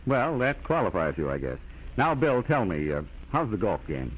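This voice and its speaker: A man's voice: fairly low pitch, sing-song, moderate pace, slightly rough. A man hosting a 1940s radio show with scripted announcements and banter. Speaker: radio show director and host. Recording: muffled 1940s radio recording.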